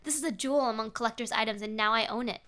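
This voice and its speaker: A woman's voice: on the high side, very fast, bright, forceful tone. A woman voicing a taunting, obsessive stalker fan from a horror story, in a smug, sing-song manner. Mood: smug menace.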